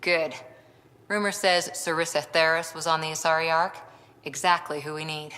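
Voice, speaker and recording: A tough young lieutenant in a sci-fi game cutscene. Her voice is on the low side and earnest, carried in a long reverb. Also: fairly even intonation, moderate pace, bright, forceful tone. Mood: eager. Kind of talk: video game cutscene dialogue.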